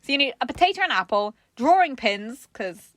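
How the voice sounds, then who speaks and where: A woman's voice: on the high side, big pitch swings, a little fast, fairly bright tone, no room sound. A woman hosting a casual comedic craft chat, giggly and playfully mocking as she talks. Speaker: craft show host.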